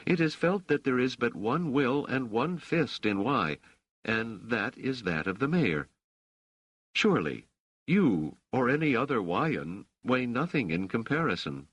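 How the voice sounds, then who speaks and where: A man voicing a sharp, skeptical woman who argues in formal, precise sentences, in an audiobook story. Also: medium pitch, big pitch swings, unhurried, husky, dry recording, pitched above the performer's own voice, heavily acted, low-quality recording. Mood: coolly challenging. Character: sharp, skeptical woman challenging her host.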